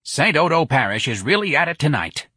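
A man voicing a bossy, sharp-tongued working-class woman in an audiobook, pushy and emphatic. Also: a little high, very animated, fairly quick, slightly rough, dry recording, clean studio sound, voice pushed up, full character voice. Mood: brisk. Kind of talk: audiobook character dialogue.

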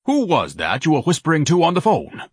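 A man's voice: a little high, wildly swooping pitch, a little fast, husky, no echo, clean studio sound, voice pushed up, heavily acted. A man doing a pompous, suspicious grown son. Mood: frightened. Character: pompous, self-pitying grown son, grandiose.

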